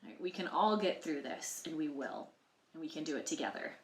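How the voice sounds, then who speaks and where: A woman's voice: low-pitched, brisk, a little hiss or hum. A woman reading a picture book aloud to children, expressively, with explanatory asides and questions for the listeners. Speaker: library storytime reader. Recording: roomy, echoey sound.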